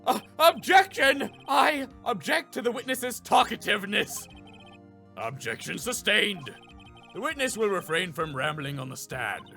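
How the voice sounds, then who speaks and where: A theatrical man of the court from a video game, voiced by a man. He declaims formally and pompously in a forceful, hugely animated voice over faint music. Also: sits high, unhurried, vocal fry, voice pushed up, heavily acted. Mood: indignant. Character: theatrical, formal male courtroom lawyer and judge.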